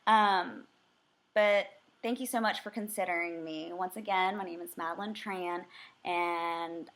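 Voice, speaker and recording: A woman's voice: fairly low pitch, steady tone, a little slow, fairly bright tone. A young American woman, raised speaking Vietnamese first, giving earnest, eager answers in a mock job interview with frequent filler words. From United States. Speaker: job candidate.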